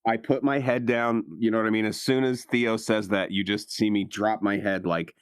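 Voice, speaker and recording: A man's voice: fairly low pitch, steady tone, moderate pace, clear, resonant tone, no room sound, studio quality. A man playing a tabletop role-playing game, improvising in a casual, blunt, sardonic way. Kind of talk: casual in-character improvised chat.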